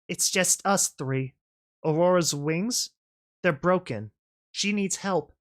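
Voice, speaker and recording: A young pony student from a storybook, acted out by a narrator. The voice is earnest and halting, upset yet determined to hold on. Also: mid-range voice, pitched above the performer's own voice, natural voice. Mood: tearful. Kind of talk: audio storybook character voice.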